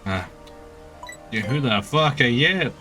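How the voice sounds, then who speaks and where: A man's voice: medium pitch, animated, slow pace, bright, forceful tone, faint music behind. A man streaming a video game with casual commentary, sounding exasperated, sardonic and nitpicking. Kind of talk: casual game commentary with dialogue read aloud.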